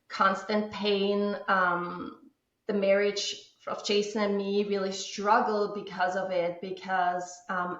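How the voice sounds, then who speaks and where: A woman from Austria with an Austrian German accent, German her first language, calmly telling her story as a podcast guest from a home office. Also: mid-range voice, reverberant, highs cut off. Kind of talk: podcast interview.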